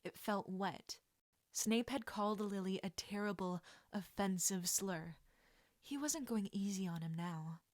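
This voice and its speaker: A woman reading a story aloud as an expressive audiobook narrator. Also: medium pitch, unhurried, no room sound, clean studio sound. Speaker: audiobook narrator of fan fiction.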